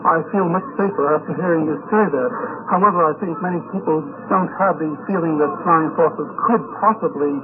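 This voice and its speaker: A rather high-pitched man works as a roving 1950s radio interviewer. He asks questions haltingly, doubling back on his words. The tinny field recording has heavy noise behind him. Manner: halting, repeats words while forming questions. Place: on location at a convention.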